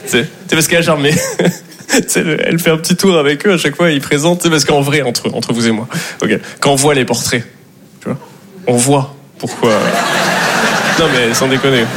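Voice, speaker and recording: A man's voice: mid-range voice, steady tone, moderate pace, a bit bright, a little hiss or hum. A man from southwest France doing a stand-up set, chatty and laughing as he acts out other people's voices. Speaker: stand-up comedian.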